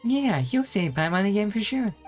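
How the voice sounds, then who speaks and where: A tiny childlike fairy sidekick from a video game, played by a woman, cute and excitable with a greedy streak. The delivery is full of exclamation, with faint music playing behind it. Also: medium pitch, normal speed, natural voice. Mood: hesitant, trusting. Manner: childlike, exclaiming, speaks of self in third person.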